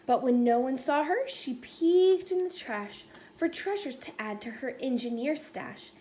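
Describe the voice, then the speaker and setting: High-voiced woman reading a children's storybook. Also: lively intonation, a little slow, mellow. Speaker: storybook reader. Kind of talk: expressive children's read-aloud narration in rhyme. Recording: faint background noise.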